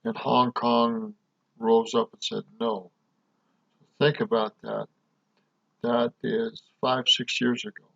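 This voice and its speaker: A man's voice: mid-range voice, level tone, slow, gravelly, long pauses, muffled recording. A man commenting on a video in an emphatic, questioning way. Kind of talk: casual commentary.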